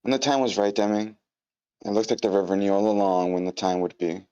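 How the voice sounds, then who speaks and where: A woman's voice: very deep voice, steady tone, brisk, warm tone, clean tone. A woman playing a caring, reassuring teacher in an amateur screenplay table read. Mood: tender, reassuring. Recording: dull lo-fi online meeting audio.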